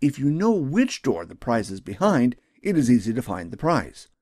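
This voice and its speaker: Man narrating a lesson, dry lively delivery. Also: mid-range voice, moderate pace, no room sound. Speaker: instructor narrating a training video. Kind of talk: scripted explanatory narration.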